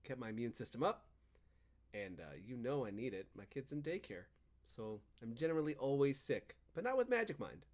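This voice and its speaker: A man's voice: mid-range voice, sing-song, fairly quick, a little mellow. A man hosting a podcast, talking in casual banter and chatty ad reads with an emphatic, swinging delivery. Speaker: podcast and stream host.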